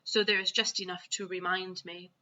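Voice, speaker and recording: A woman voicing a gentle, long-suffering friendly giant with muddled, folksy grammar for a children's storybook. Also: fairly low pitch, little pitch movement, normal speed, lo-fi sound. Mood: weary. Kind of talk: children's storybook read-aloud.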